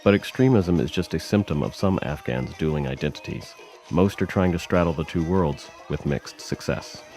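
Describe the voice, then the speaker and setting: A man giving measured, scripted documentary narration. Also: deep voice, a little mellow, soft background music.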